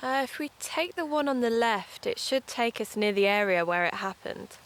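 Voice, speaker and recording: An actress playing a brisk, dry-humoured woman who makes an amateur true-crime podcast, in an audio drama. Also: a little high, expressive, a little hiss or hum. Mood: wry, watchful.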